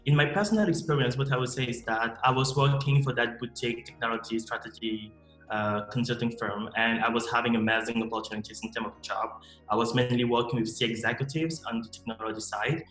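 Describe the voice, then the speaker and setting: A man, answering interview questions in a measured, explanatory way with frequent restarts. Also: mid-range voice, fairly bright tone. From Cameroon. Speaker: MBA student guest. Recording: echoey room with faint music.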